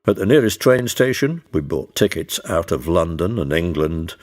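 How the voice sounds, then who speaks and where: A man giving measured, formal first-person narration in the dramatic manner of a period adventure story. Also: low voice, animated, a little mellow. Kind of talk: dramatic scripted audiobook narration. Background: music under the narration.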